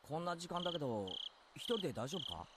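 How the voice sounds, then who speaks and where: A man's voice: on the high side, sing-song, rapid-fire. A man voicing a casual, caring teenage boy in a Japanese visual novel, offhand and boyish. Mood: casual concern. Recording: game audio under loud insect noise.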